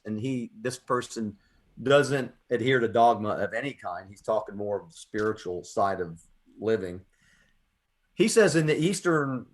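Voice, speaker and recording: An American man with a medium-pitched voice hosts a poetry radio show. He talks earnestly and reflectively, putting emphasis on his phrases as he moves between conversation and spoken-word delivery.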